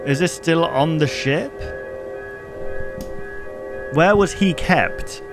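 A man's voice: on the high side, extremely slow, music in the background. A man in his twenties giving casual reaction commentary as a YouTube host, close to a desk microphone in a bedroom. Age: 20s. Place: bedroom, indoors.

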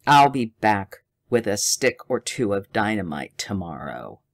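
A reader voicing a mad, cruel villain who speaks slowly and with menace in an audiobook. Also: low-pitched, sing-song, creaky, pitched below the performer's own voice, exaggerated voice. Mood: menacing. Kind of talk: audiobook character voice.

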